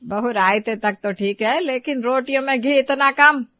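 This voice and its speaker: A woman's voice: sits high, moderate pace, no echo, dull audio, lightly acted. A woman playing an indignant, demanding older mother-in-law who nags in a family moral story. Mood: grumbling. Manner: nagging, exclamatory. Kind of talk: Hindi moral-story character dialogue.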